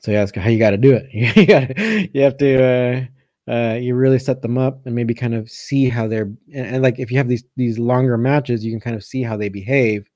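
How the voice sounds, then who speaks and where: A man's voice: medium pitch, brisk, darkish tone, no room sound, studio quality. A man hosting a casual live question-and-answer session as a coach, thinking aloud and often rephrasing as he goes. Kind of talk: casual live chat answering viewer comments.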